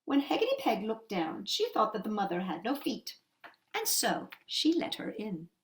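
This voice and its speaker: A woman's voice: mid-range voice, huge pitch swings, normal speed, reverberant. A woman reading a children's story expressively, with dramatic, emphatic phrasing.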